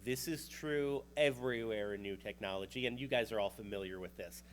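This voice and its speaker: A man's voice: lowish voice, fairly quick, a little hiss or hum. A man with a New York accent gives a casual conference talk about vehicle technology, chatty and joking now and then. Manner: casual and chatty, with a joking aside here and there. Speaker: researcher presenting at a conference.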